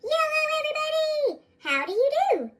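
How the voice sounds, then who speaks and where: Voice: very high-pitched, big pitch swings, normal speed, a bit bright, pitched above the performer's own voice, heavily acted. A narrator voicing a proud, chirpy young male leaf from a storybook, American, with a chipmunk effect. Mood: cheerful. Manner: showy, chirpy. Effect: sped-up chipmunk voice effect.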